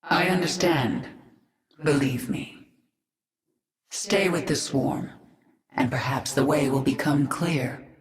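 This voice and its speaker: A woman's voice: sits low, slow pace, long pauses, reverberant. An actress playing a hardened, commanding and brooding alien queen in a video game. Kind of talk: video game cinematic acting.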